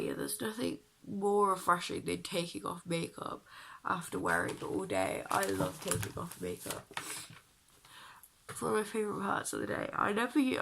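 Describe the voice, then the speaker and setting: A young woman with a deep, husky voice, sounding ill and croaky, chatting casually to the camera about her makeup and feeling sick. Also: fairly bright tone. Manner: chatty and self-deprecating, sighing. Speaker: beauty vlogger.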